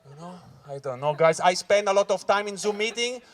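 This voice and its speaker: High-voiced Swiss comedian working a crowd. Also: male voice, a little fast. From Switzerland (Ticino). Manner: loud, animated crowd work, keeps saying "guys". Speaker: stand-up comedian. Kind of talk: stand-up comedy set at a corporate event. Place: corporate event hall with live audience.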